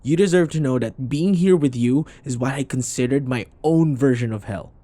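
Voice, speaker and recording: A man's voice: mid-range voice, moderate pace, mellow, dry recording, natural voice. A man playing a sarcastic, irritable office rival who taunts and scoffs, in a scripted boyfriend audio roleplay. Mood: taunting. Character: snarky, irritable male office rival, teasing and combative.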